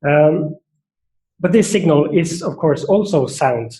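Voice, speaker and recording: A man's voice: fairly high pitch, animated, takes it slowly, warm tone, rough recording. A man with a Norwegian accent giving an academic seminar talk, thoughtful and hesitant, with many fillers and restarts. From Norway. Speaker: seminar speaker.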